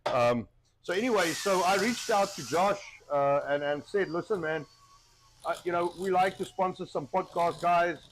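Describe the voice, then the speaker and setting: A man with a somewhat high voice chats casually as the host of a cooking stream. He rambles and circles back over his own phrases. He is recovering from a cough and still has a wheezy chest. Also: moderate pace, background noise. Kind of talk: casual cooking livestream chat.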